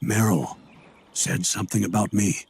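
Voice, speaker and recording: A man playing an older military commander in a video game, composed and paternal, explaining things in a measured way. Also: fairly low pitch, steady tone, vocal fry, a little mellow, slight background noise. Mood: defensive, regretful. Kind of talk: video game cutscene voice acting.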